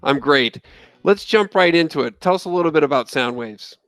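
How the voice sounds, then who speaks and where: A man's voice: fairly high pitch, lively intonation, moderate pace, fairly bright tone, dry recording, faint music behind. An American man hosting an interview, introducing his guest and asking questions. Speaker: interviewer, trade magazine host.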